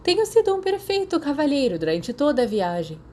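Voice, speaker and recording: A woman's voice: high voice, big pitch swings, a little fast, a little mellow, voice pushed up. A woman narrator plays a cheeky teenage boy wizard with a Brazilian accent. He is theatrical and teasing, full of mock indignation, in an audiobook story with light background noise. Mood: mock prim. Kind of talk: audiobook character voice.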